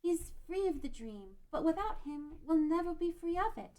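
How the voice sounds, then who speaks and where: A woman's voice: very high-pitched, sing-song, moderate pace, darkish tone, full character voice. A woman playing a wistful young island girl in a fantasy table read. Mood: sad, wistful.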